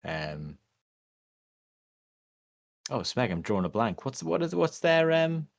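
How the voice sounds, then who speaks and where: A man co-hosting a podcast, chatting casually in a halting, rambling way with many fillers and restarts. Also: medium pitch, sing-song, creaky. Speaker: podcast co-host. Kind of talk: casual chat.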